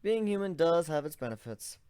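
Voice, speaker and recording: A man voicing a weary young male zebra, a former slave who speaks flatly and matter-of-factly. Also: on the high side, a little fast, clear, resonant tone, no echo, clean studio sound, pitched above the performer's own voice, natural voice. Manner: flat, matter-of-fact.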